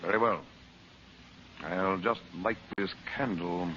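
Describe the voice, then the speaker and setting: A man's voice: low voice, takes it slowly. A man playing a resolute, authoritative uncle and adventurer hero in an old radio serial, earnest and theatrical. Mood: stern. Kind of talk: 1930s-40s radio adventure serial acting. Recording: muffled, very noisy old radio recording.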